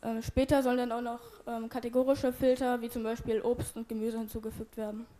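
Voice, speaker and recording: Mellow-voiced young woman presenting. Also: fairly high pitch, flat delivery, a little fast. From Germany. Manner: hesitant, many fillers. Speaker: student presenter. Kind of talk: project presentation with live demo.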